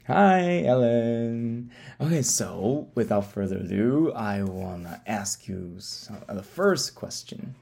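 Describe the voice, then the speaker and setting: Man, podcast host, creaky voice, dark tone, halting delivery, repeated words, interview style. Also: medium pitch, expressive. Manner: halting, stumbling over words and repeating phrases. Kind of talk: interview, reading a guest introduction then asking questions.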